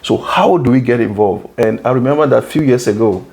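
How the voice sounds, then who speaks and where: A man's voice: medium pitch, fairly quick, darkish tone. A Ghana-born man hosting an interview, earnest, who restarts and repeats words as he talks and keeps affirming his guest. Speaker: interview host. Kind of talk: conversational interview.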